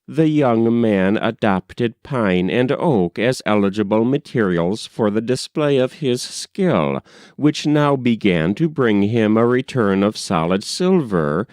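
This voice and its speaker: A man reads a literary tale in a fairly low voice. His delivery is slow and expressive, with plenty of movement in the pitch, as a measured audiobook narrator would read. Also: no echo, studio quality. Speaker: audiobook reader.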